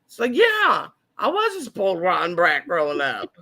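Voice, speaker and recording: A woman in her fifties telling animated stories in a casual group chat. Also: lowish voice, moderate pace. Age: 50s. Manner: animated storyteller.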